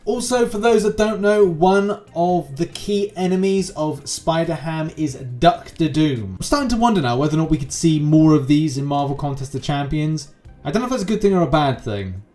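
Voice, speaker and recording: A young man with a fairly high voice hosts a casual explainer video. He moves between scripted narration and rambling, conversational asides. Music plays behind him as he talks. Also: expressive, normal speed, warm tone. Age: young adult. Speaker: YouTube host. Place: small room at home with green screen. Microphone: close desk microphone. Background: background music.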